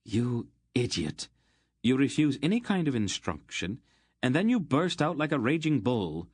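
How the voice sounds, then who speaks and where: A man's voice: mid-range voice, big pitch swings, unhurried, darkish tone, dry recording, studio quality, pitched above the performer's own voice. A man doing a cold, ancient sorceress. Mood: furious. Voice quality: cold, clipped, scathing. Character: ancient stern sorceress woman, cold and commanding.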